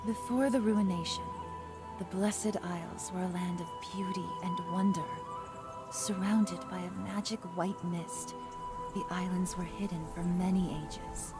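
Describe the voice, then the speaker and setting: A woman playing a grave, wise mystical storyteller in a video game, speaking in a measured, dramatic way. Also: fairly low pitch, fairly even intonation. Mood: wistful. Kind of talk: scripted video game acting and narration. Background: loud music.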